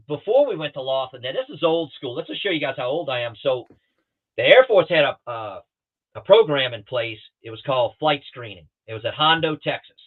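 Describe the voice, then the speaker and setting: A man telling rambling, animated pilot stories on a talk show, with many restarts and acted-out quotes, heard over a remote call. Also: mid-range voice. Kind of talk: casual storytelling on a talk show. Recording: tinny phone-like line.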